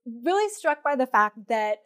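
A woman's voice: on the high side, sing-song, unhurried, fairly bright tone, faint music behind. A woman speaking as an interviewer in a casual, conversational style.

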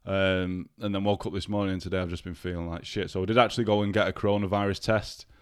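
Man, low voice, stuffy and unwell, chatty swearing podcast host, dry close sound. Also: flat delivery, fast, clean tone, no room sound. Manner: chatty, swears freely, addresses listeners directly. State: ill and congested. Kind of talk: casual solo podcast chat.

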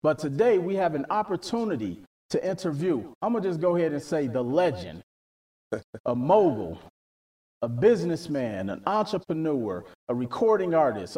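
A man's voice: a little high, mellow, far from the mic. A man hosting a podcast interview in an upbeat, affirming way. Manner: upbeat, affirming, frequent "right" and "definitely". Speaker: podcast host.

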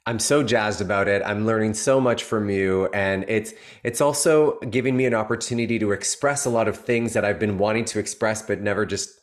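A man's voice: on the low side, fairly quick, hardly any pauses. Gushing, effusive male podcast host. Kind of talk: casual podcast conversation.

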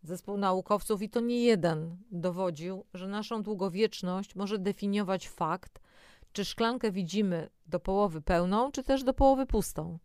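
A woman's voice: medium pitch, normal speed, darkish tone, clear, resonant tone, dry recording, studio quality. A woman co-presenting educational health material, explaining informally and speaking directly to the listener between scripted passages. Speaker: co-presenter of a health audio programme. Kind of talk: scripted educational narration with conversational asides.

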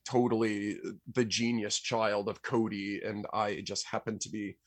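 A man chatting casually as a podcast guest about his work as a musician, in a rambling, halting way that stumbles over words. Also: fairly low pitch, flat delivery, no room sound. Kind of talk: casual chat about own projects.

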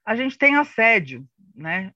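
Brazilian man, high bright voice, didactic presenter, conversational delivery, frequent tag questions. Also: big pitch swings, slow pace, dry recording, highs cut off. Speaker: panelist presenting on disinformation. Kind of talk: informal lecture with slides in an online debate. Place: online live session recorded for a podcast.

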